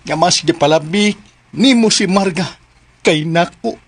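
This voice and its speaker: A man's voice: high voice, a little slow, no echo. A man playing a flamboyant, possessive, scheming bodyguard in a Filipino radio drama, exclaiming in a theatrical sing-song. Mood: sulky, hurt.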